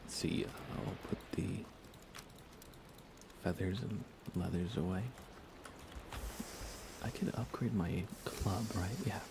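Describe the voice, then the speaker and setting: A low, gravelly man talks softly through a relaxed ASMR gaming stream. He often slips into a near whisper, keeping things casual and close to the microphone. Steady background noise and game ambience sit clearly behind him. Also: slow pace, pauses often. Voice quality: creaky, low. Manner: soft-spoken, often dropping to a whisper. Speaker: livestreaming gamer.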